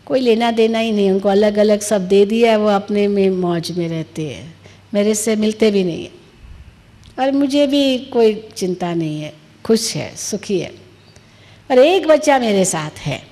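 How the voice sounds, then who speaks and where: Woman voicing a slow, candid old businessman, hall echo. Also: medium pitch, expressive, mellow, close to an everyday voice. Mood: candid, contented. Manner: explains himself patiently and plainly. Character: candid, contented eighty-year-old retired businessman in a parable. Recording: long reverberant hall echo.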